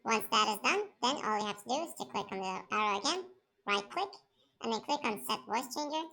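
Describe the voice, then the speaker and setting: Woman doing a how-to voiceover, lots of vocal fry, sharp bright tone, plain step by step instructions. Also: on the high side, animated, fairly quick. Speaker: tutorial host. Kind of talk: step-by-step software tutorial narration.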